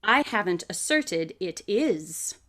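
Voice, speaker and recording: An actress playing a brilliant, coolly confident detective who lectures with precise, theatrical diction in an audio drama. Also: female voice, on the low side, big pitch swings, slow, fairly bright tone, exaggerated voice. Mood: probing, instructive. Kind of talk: live audio drama acting.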